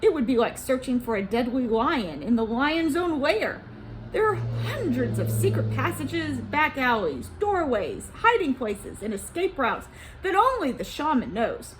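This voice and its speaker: A woman's voice: high-pitched, very animated, measured pace, over music, poor audio. A woman voicing a glum male militia advisor in a storybook, emphatic and grave. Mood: glum warning.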